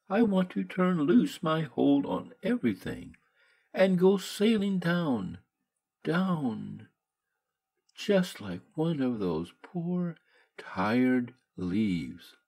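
A man voicing a frail, gravely ill young woman artist in a soft, fading voice. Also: fairly high pitch, big pitch swings, drawn-out words, pitched above the performer's own voice, heavily acted. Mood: despairing.

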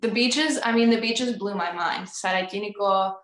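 A young woman in her twenties, a singer, being interviewed over a video call from a room at home. She talks in a bubbly, animated way and laughs often. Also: medium pitch, normal speed, background noise. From United States, family from Crete. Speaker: guest, recording artist. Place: indoor room at home with instruments on the wall. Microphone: video call, webcam. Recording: roomy video call audio.

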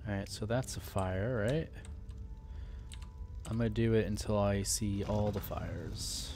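A man's voice: on the low side, steady tone, unhurried. A man giving casual, laid-back gameplay commentary, often muttering to himself. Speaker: gaming streamer, let's player. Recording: background noise, keyboard clatter.

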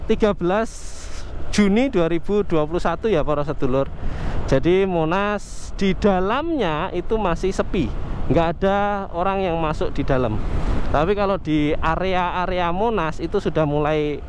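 A man's voice: high voice, measured pace, slight background noise. A man from Indonesia giving loose, chatty running commentary while riding a motorcycle through city streets, full of fillers and asides to his viewers. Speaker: motovlogger.

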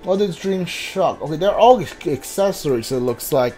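A gamer giving casual, hesitant commentary on a playthrough, often muttering to themselves in a halting way. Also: medium pitch, expressive, mellow. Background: video game music.

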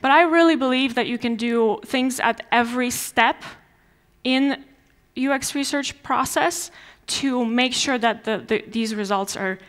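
A woman's voice: a little high, measured pace, fairly bright tone. Polish-accented woman giving a conference talk. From Poland. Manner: conversational with frequent restarts and fillers. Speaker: conference speaker. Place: large dark conference stage before an audience.

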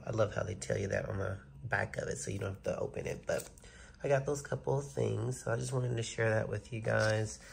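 Chatty thirties male vlogger, stuffy and nasal, low and gravelly, phone selfie sound. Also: steady tone, fairly quick, slight background noise. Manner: chatty, enthusiastic, addresses viewers directly. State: nasal from a cold. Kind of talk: casual vlog talk to camera. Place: bedroom indoors, later outdoors on a sidewalk. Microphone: phone at arm's length.

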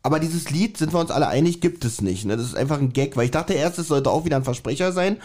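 A man's voice: a little high, expressive, quick pace, a little mellow. A man chatting casually as a podcast co-host. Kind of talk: casual chat.

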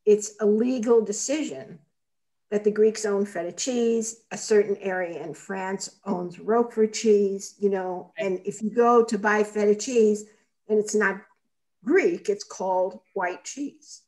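A woman's voice: mid-range voice, unhurried, darkish tone, rough recording. An older woman whose first language was Armenian talks on a video call from her home kitchen. Her speech is chatty and anecdotal, full of "you know" and "like". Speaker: participant.

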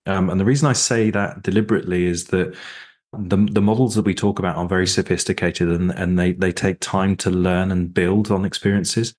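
A man with a very low, deep voice, talking as an interview guest about security. He speaks emphatically, repeating his points and often restarting his sentences with fillers. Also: steady tone, a little fast, darkish tone. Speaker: interview guest, cybersecurity executive. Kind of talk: sponsored interview.

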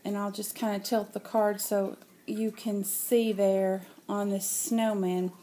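A woman with a stuffy, nasal voice from a cold talks slowly and gently, filling pauses with many ums. She speaks to a camera mic on a table at home, with a faint hiss behind her. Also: medium pitch, little pitch movement. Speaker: craft vlogger. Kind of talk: casual show-and-tell.

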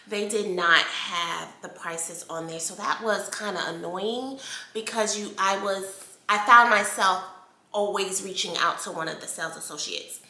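An American woman in her thirties talks to camera in a casual, conversational vlog style. Her voice is bright and takes its time, with emphatic points along the way. It is recorded indoors with noticeable room echo. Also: medium pitch. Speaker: home decor vlogger. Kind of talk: casual haul chat to viewers. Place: bright indoor room at home, seated at table. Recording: echoey room.

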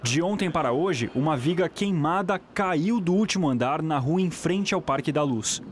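A man's voice: a little high, lively intonation, a little hiss or hum. A man giving a formal news report outdoors with a handheld mic. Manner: measured, formal delivery. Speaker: field reporter. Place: outdoors on a city street by a train station.

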